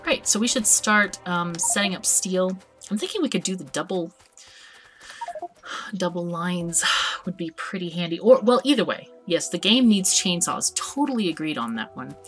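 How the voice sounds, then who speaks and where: A woman hosting a multiplayer game stream, chatting in a relaxed way and often restarting her words. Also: lowish voice, moderate pace, soft background music. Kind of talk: casual chat while playing.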